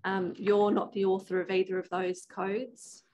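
A woman questioning a witness formally as counsel, with deliberate, careful phrasing. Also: medium pitch, flat delivery, a little mellow. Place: indoor hearing room.

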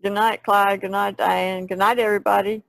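A woman's voice: medium pitch, little pitch movement, normal speed, vocal fry, a bit bright, no echo. A woman chatting casually as an artist on a podcast panel.

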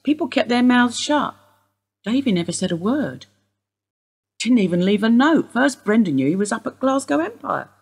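Woman doing husky older lady, bitter and wry, swings her pitch all over. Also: sing-song, moderate pace, full character voice. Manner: sardonic, wry. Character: older woman, Mrs Steele, sharp-tongued and bitter. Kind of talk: radio play acting.

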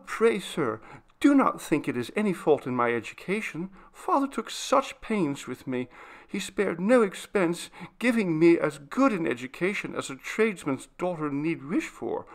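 A man's voice: high voice, voice pushed up, full character voice. A man voicing a frightened, earnest young servant girl in a storybook, faltering, meek and deferential.

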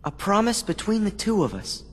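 A man's voice: high voice, very animated, normal speed, fairly bright tone, slightly rough, full character voice. An actor playing an earnest, determined teenage boy hero in a video game. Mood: resolute. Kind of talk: video game cutscene acting. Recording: long hall reverb.

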